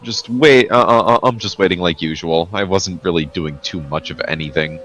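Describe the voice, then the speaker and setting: A man's voice: low-pitched, normal speed, fairly bright tone, rough recording. A man chatting casually as a player in a tabletop game, joking and loose with his language. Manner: joking, sweary.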